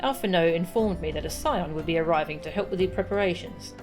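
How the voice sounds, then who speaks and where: A woman's voice: on the low side, quick pace, over music, close to an everyday voice. Woman reading as an earnest, formal warrior guardian. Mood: courteous, humble. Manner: courteous, formal.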